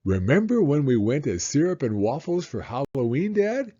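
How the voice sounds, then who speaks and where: A man's voice: mid-range voice, big pitch swings, normal speed, mellow, slightly rough, muffled recording. A man voicing a cheerful young boy in a storybook, talking eagerly and affectionately to his dad. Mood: excited, eager.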